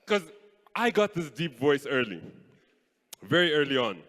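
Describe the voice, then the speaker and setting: Animated young male comedian in a hall. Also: on the high side, a little slow. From Kenya. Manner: animated, playful, switches voices. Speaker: stand-up comedian. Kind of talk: live stand-up comedy. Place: stage in a large hall. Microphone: handheld stage mic. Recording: live hall with long reverb.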